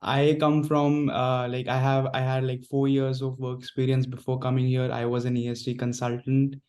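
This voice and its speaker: Flat-toned young Indian man, halting. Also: mid-range voice. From New Delhi, India. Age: young adult. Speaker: graduate student panelist. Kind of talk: casual self-introduction. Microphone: video call microphone.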